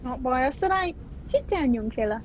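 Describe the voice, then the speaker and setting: A woman's voice: sits high, lively intonation, normal speed. A woman playing a folksy, chatty train passenger in an old-time radio play. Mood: chatty, intrigued. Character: folksy train passenger reading the newspaper aloud. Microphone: video call. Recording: dull, noisy video call audio.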